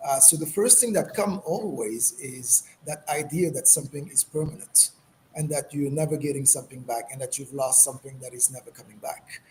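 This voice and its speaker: A man from Senegal speaking as a guest healer in a reflective interview, with halting, thoughtful phrasing. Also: medium pitch, mellow. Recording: clearly noisy background.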